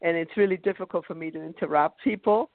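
A woman whose first language is German, hosting a radio interview and speaking slowly and deliberately. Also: on the low side, no echo. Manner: slow, deliberate speech. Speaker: radio show host. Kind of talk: conversational interview. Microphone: phone call. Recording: tinny phone line.

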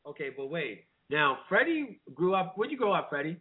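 Lively high-voiced radio host on a phone line. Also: male voice, slightly rough. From Washington, D.C. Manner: lively, chatty, quick interjections. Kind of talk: casual radio interview.

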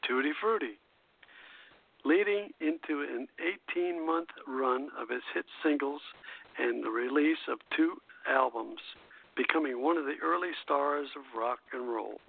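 Male radio host, slightly high register, halting scripted read with stumbles, phone-line sound. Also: creaky, no room sound. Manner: halting reader who stumbles and self-corrects. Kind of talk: reading scripted music history aloud. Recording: tinny phone-line internet radio call.

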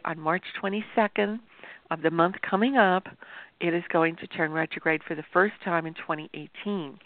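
A woman with a sore throat talks straight to her viewers in an easy, explanatory way, her voice gravelly and full of fry. The sound is tinny and narrow, like a phone line, and completely dry. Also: on the low side, no echo. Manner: chatty, explanatory, addresses viewers directly. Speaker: astrologer hosting a show. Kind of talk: informal talk-show monologue.

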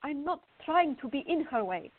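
A woman's voice: high voice, sing-song, moderate pace. An actress playing a fiery, indignant young woman in a radio drama, arguing and questioning. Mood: exasperated. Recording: tinny, band-limited, echoey room.

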